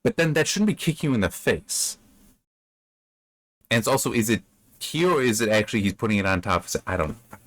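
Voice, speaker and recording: A man with a fairly low voice talks through his reactions as a reviewer, casual and unscripted. He sounds bemused and rambles, halting and doubling back on his words. The sound is dry and close, with little room around it. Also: expressive, a bit bright, dry recording. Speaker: book reviewer reading and commenting. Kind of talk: casual unscripted commentary on a story.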